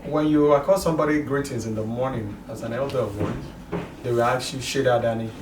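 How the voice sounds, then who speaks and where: A middle-aged man from Nigeria with a Nigerian accent, speaking haltingly in a documentary interview. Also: mid-range voice, recorded from a distance, heavy background noise. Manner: halting, many restarts and fillers. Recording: roomy, off-mic with music underneath.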